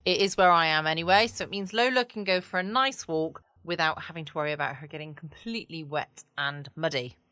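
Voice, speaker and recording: Bright-voiced woman, close and dry. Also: deep voice, brisk, few pauses, no room sound. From United Kingdom. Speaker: podcast host. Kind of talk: casual late-night bedtime chat.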